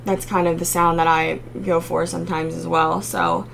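A young woman with a raspy voice, a singer-songwriter guest chatting casually in an interview with frequent ums. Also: on the low side, level tone, moderate pace, a bit bright, a little hiss or hum. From Maryland, United States.

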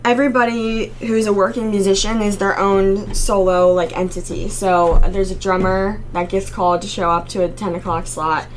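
A young woman with a raspy voice, a singer-songwriter guest chatting casually in an interview with frequent ums. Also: mid-range voice, little pitch movement, moderate pace, hardly any pauses, a little hiss or hum. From Maryland, United States.

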